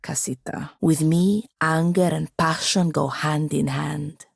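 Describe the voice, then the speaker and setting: Haughty young wizard with gravelly low-edged voice. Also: female voice, steady tone, slow pace, no echo, studio quality, full character voice. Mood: frank, uncertain. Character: proud, bookish, sharp-tongued young wizard woman, newly freed apprentice. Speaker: companion character in a fantasy role-playing game. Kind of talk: scripted video game dialogue.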